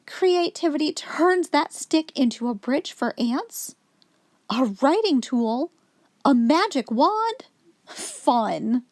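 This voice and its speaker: A woman with a high voice reads a picture book aloud to young children. Her intonation is very lively, rising and falling as she explains things in an instructive storyteller's way. The sound is dry and close, with little room in it. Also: slow pace, no room sound. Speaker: children's story reader, host.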